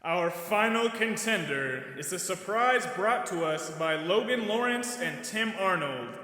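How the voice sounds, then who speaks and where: Man as a very high-pitched hyped assembly announcer. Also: expressive, pushed and bright, exaggerated voice. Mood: showy, excited. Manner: showy, drawn-out announcing. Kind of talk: scripted audio drama acting. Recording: echoey assembly hall, music.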